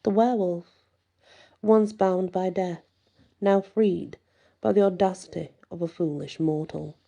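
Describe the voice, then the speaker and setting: Dark, husky, slow female storyteller. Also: on the low side, fairly even intonation. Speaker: storyteller, channel host. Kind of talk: ASMR scripted horror story narration.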